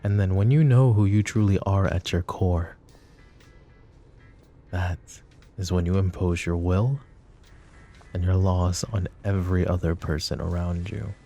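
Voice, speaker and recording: Man acting a deep gravelly mafia boss, intimate and menacing. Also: fairly even intonation, warm tone, faint music behind. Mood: brooding, commanding. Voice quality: low, gravelly murmur. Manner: deliberate, coaxing, philosophizing. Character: flirty, possessive male mafia boss, calm and menacing. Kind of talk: intimate ASMR roleplay acting.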